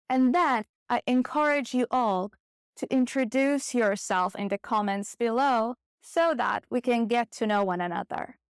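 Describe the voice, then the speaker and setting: A woman teaching an online English lesson, explaining slowly, clearly and deliberately. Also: on the high side, dry recording, studio quality.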